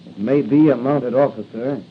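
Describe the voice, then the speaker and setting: A man's voice: medium pitch, moderate pace, close to an everyday voice. Male actor as masked crime-fighter: bold, earnest, declamatory old-radio delivery, muffled with light noise. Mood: bold, urgent. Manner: declamatory, resolute. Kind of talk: 1940s radio acting. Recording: dull, noisy 1940 radio recording.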